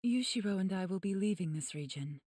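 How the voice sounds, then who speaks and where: Soft, mellow, solemn demon lady played by a woman. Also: medium pitch, animated, no room sound, studio quality, lightly acted. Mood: grave. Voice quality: soft, breathy. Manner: measured, formal. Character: calm, gentle, solemn demon woman, a refined healer. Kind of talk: anime game voice acting.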